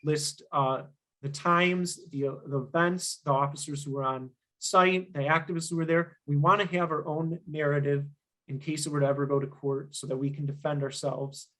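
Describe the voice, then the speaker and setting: Earnest young man presenting a workshop, slightly hoarse. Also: fairly high pitch, level tone, normal speed, bright, forceful tone, poor audio. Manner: earnest, emphatic, with frequent ums and restarts. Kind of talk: instructional presentation.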